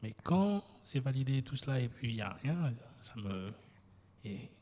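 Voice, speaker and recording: Male podcast host, husky, animated, recorded with long hall reverb. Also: medium pitch, moderate pace. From Ivory Coast. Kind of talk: casual interview.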